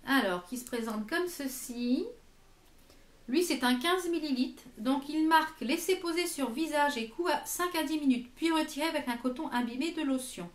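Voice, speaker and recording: A woman in her late thirties giving a casual product review in a chatty, hesitant manner, pausing to read labels aloud. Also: sits high. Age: late 30s. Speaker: beauty vlogger presenting a product box. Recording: echoey room.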